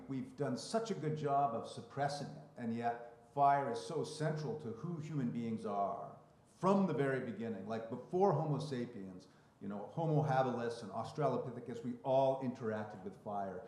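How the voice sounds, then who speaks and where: A man's voice: medium pitch, a little mellow. A man moderating a live panel conversation as a writer, speaking in a reflective, expansive way as he thinks aloud into a microphone. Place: public library event room. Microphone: handheld or stand mic. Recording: roomy echo of a live event.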